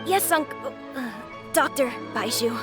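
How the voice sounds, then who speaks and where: A woman's voice: high-pitched, expressive, unhurried, over music. A worried young boy. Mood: anxious. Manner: earnest, polite. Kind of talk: video game voice acting.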